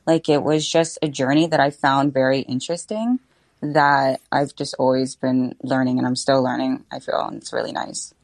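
A young woman chats as a podcast guest, her voice low for a woman. She talks in a bubbly, informal way, with lots of "like" and quick asides. The sound is dry and close to the mic. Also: steady tone, no echo. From Florida. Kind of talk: casual interview chat.

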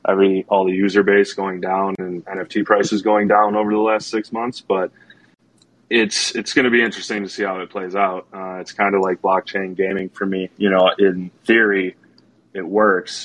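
A man's voice: sits low, low-quality recording. A man co-hosting a podcast, talking casually with many hedges and fillers. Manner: relaxed, hedging, many fillers. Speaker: podcast co-host. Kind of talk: casual chat.

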